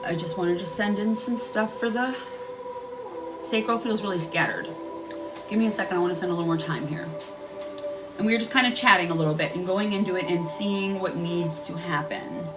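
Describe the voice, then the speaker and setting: A woman's voice: lowish voice, fairly quick, far from the mic, low-quality recording. A woman hosting a live-streamed healing session, talking in an earnest, rambling way and guiding listeners through their breathing. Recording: voice a bit off-mic with music playing.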